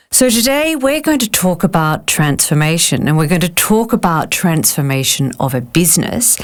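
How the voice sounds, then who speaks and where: A woman hosting a podcast from a studio, reading a polished scripted intro and then asking attentive interview questions. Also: sits low. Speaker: podcast host.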